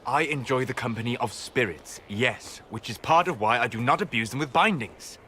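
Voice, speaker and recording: An actor playing a calm, learned male elf mage who talks in a precise, formal way. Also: mid-range voice, normal speed, slight background noise, lightly acted. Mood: patient.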